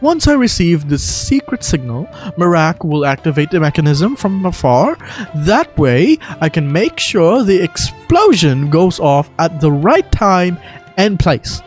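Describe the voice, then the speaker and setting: A man voicing an excitable, dramatic young architect from a fantasy game, with an emphatic, theatrical delivery. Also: fairly high pitch, a little slow, soft background music. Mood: eager.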